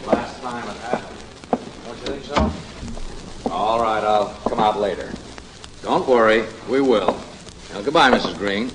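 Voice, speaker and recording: A man's voice: fairly low pitch, unhurried, pauses often, natural voice. A man acting in an old-time radio drama as a friendly small-town sheriff with an easygoing, neighborly way of talking. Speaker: actor playing a sheriff. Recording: echoey, noisy old radio drama recording.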